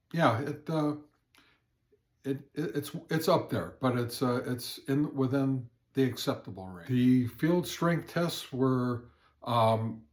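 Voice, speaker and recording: A man presenting an informal hands-on product review as an amateur radio hobbyist, speaking in a halting, hesitant way. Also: mid-range voice, no echo.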